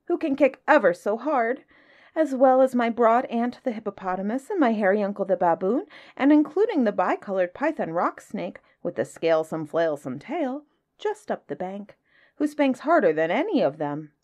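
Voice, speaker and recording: A woman acts out a young boy elephant from a storybook, pitched high and swooping. He is very polite and curious, with an earnest, childlike plea in his words. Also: sing-song, normal speed, darkish tone. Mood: polite, pleading. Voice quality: nasal when nose is caught. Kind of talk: storybook character voice.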